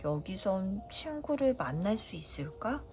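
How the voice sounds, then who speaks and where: A woman voicing a gentle, lonely young boy prince in a children's storybook reading, with a soft, wistful way of talking. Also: mid-range voice, big pitch swings, a little slow, soft background music.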